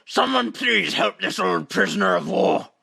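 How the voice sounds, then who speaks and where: A man's voice: sits high, wildly swooping pitch, moderate pace, creaky, bright, forceful tone, husky, full character voice. A man voicing a pompous, dramatic old space-center director in a video game fandub, with theatrical military bluster and a stammer. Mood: defiant. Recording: amateur home recording under game music.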